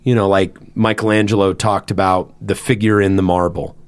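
A man in his thirties with a loud, carrying voice, co-hosting a podcast and chatting casually with lots of "you know" and "like". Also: sits low, level tone, normal speed, smooth. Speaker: podcast host and band member.

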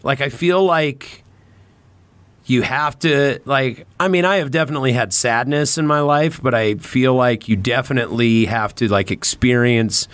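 A man's voice: mid-range voice, normal speed, a bit bright, no echo. Rambling man chatting on a close, dry mic. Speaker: podcast host. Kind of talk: casual chat.

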